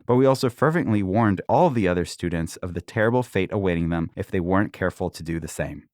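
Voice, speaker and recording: A man in his forties, born in Israel, calmly reading aloud from his own book as a podcast host, with an occasional soft chuckle. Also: low-pitched, no echo, studio quality.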